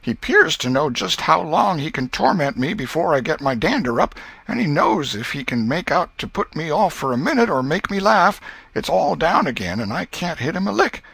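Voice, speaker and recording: A man's voice: fairly high pitch, big pitch swings, vocal fry, a bit bright, slightly rough, dry recording, voice pushed up, exaggerated voice. A man voicing a fretful, tender-hearted old American country aunt in a folksy Southern accent for a storybook reading. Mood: exasperated, tender. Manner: folksy, exclamatory, drawn-out lamenting. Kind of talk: dramatized audiobook reading.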